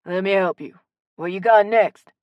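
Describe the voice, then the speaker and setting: A woman's voice: medium pitch, moderate pace, clean tone, no room sound, lo-fi sound, close to an everyday voice. A woman narrator voicing a friendly, helpful small-town male shopkeeper in an audiobook story. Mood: helpful, chatty.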